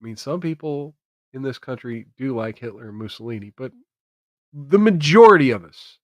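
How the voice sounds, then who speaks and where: A man's voice: mid-range voice, lively intonation, normal speed, no echo. An American man hosting a political commentary stream, speaking emphatically and repeating phrases for effect. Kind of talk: opinionated political commentary to camera.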